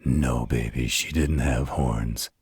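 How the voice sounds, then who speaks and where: Man as a very deep, gentle werewolf storyteller. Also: fairly even intonation, slow pace, husky, dry recording, studio quality, full character voice. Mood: patient, tender. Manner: soothing, tender, patient. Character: gentle, patient male werewolf telling a bedtime story. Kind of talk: intimate bedtime roleplay storytelling.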